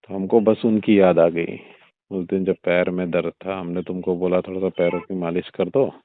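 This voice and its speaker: A man's voice: low-pitched, level tone, normal speed, slight background noise, natural voice. A man playing an older, indulgent father-in-law who talks in a coaxing, suggestive, familiar way in a staged phone-call drama. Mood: fond, suggestive. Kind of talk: staged phone-call audio drama in everyday conversational speech. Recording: muffled narrow-band phone-line sound.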